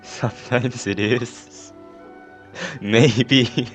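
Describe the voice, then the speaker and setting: A man's voice: mid-range voice, fairly even intonation, drawn-out words. A man giving casual, laconic and hesitant commentary while playing a story game. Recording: game music faintly behind.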